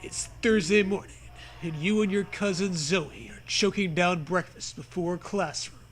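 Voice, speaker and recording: A man's voice: high-pitched, measured pace, a little hiss or hum. A man hosting a comedy show, reading parody fiction aloud in a mock-dramatic way, often cracking up. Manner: mock-dramatic, breaks into laughter while reading. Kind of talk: comic read-aloud of parody fiction with banter.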